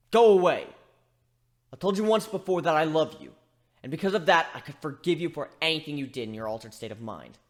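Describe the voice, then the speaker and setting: A man voicing a young male griffin in a dramatic story reading, hurt and bitter, ranting with sarcastic emphasis. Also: high-pitched. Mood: hurt, angry, then hurt, subdued. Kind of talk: dramatic fan fiction reading.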